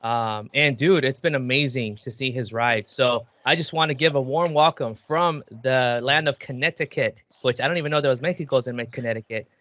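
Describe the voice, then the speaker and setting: A man's voice: medium pitch, normal speed, few pauses, bright, forceful tone, no echo, highs cut off. A man hosting a podcast, chatting loosely with slang and Spanish words mixed in. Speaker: podcast host. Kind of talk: casual chat.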